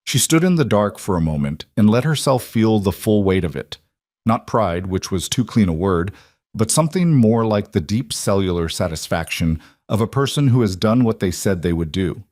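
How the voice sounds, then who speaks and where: A man reading a scripted dramatic story in a measured, solemn manner. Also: sits low, expressive, darkish tone, no room sound, studio quality. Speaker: narrator.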